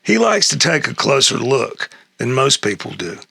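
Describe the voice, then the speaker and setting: An older man reading a humorous memoir essay aloud in a halting way, with a gravelly, husky voice. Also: mid-range voice, sing-song, bright, forceful tone, no echo, clean studio sound. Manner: halting read-aloud with stumbles and chuckles. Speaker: reader of an essay excerpt.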